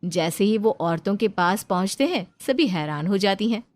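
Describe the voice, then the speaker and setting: A woman's voice: mid-range voice, animated, moderate pace. A woman reading scripted narration for a story.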